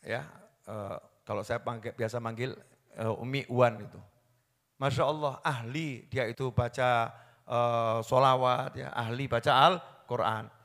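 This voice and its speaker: A man preaching a sermon, swinging from hushed storytelling to loud impassioned pleading. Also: mid-range voice, fairly even intonation, a little slow. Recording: long hall reverb.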